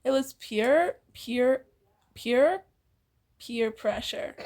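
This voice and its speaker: A woman's voice: a little high, very slow, darkish tone. A woman reading fan fiction aloud informally, giggly and theatrical, often breaking off into banter.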